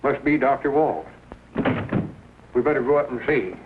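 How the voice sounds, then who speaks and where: A homespun country storekeeper, played by a man with a Southern drawl and a lively, swooping delivery. It is old film comedy acting on a tinny, noisy soundtrack. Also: mid-range voice, moderate pace, lightly acted. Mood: concerned. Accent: rural Southern US. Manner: folksy, drawling.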